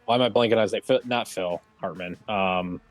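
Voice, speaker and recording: A man chatting casually as a panelist in a group discussion, often pausing as he searches for what to say. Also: sits low. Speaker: round table panelist. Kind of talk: casual group discussion about games and films. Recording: roomy echo, music underneath.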